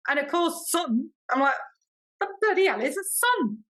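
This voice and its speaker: A woman with an English accent, a podcast guest telling stories in a chatty, expressive way and acting out quoted dialogue. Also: high-pitched, normal speed. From Britain. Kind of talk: animated storytelling in casual interview.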